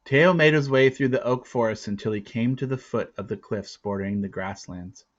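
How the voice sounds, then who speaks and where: A man reading a storybook aloud as a dramatic narrator, with deliberate, theatrical phrasing and a casual touch. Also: mid-range voice, dull audio. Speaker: storybook reader.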